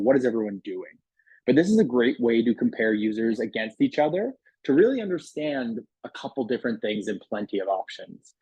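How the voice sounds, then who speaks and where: A man talks through a software demo in a conversational, explanatory way. His mid-pitched voice is a little husky, and the close recording is very dry. The delivery is casual sales patter. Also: no room sound, rough recording. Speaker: software product demonstrator. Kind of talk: casual product demo walkthrough voice-over.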